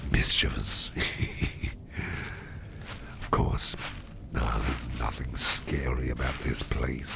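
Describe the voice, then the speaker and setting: A man playing a mischievous businessman boss in an intimate ASMR roleplay, murmuring in a halting, gravelly half-whisper. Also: very deep voice, background noise, heavily acted. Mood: mischievous, amused. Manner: slow, halting, repeating phrases, softly chuckling. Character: mischievous, toothy businessman boss, affectionate and covert.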